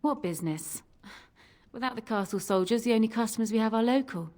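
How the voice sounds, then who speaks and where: An actress playing a jaded, wry tavern barmaid in a fantasy video game. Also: female voice, on the high side, brisk, darkish tone, natural voice. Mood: bitter, weary.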